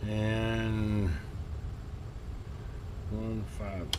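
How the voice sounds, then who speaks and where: A man giving casual, unscripted workbench narration as a hobbyist, folksy and colloquial, thinking aloud with halting pauses. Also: lowish voice, level tone. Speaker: hobbyist host restoring and testing old radios. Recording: clear background noise, amateur home recording.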